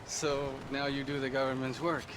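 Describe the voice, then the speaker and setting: A man's voice: medium pitch, little pitch movement, normal speed, noisy background, close to an everyday voice. A man acting a slippery, pleading outlaw. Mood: nervous, ingratiating. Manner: ingratiating, hesitant. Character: slippery, pleading Mexican outlaw, cornered former gang brother. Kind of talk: video game cutscene acting.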